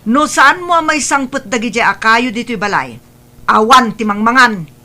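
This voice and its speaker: Woman playing naggy strict mom, ilocano accent, audio drama, sharp forceful voice. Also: fairly high pitch, expressive, normal speed. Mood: irritated, scolding. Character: harsh, nagging mother scolding her young son.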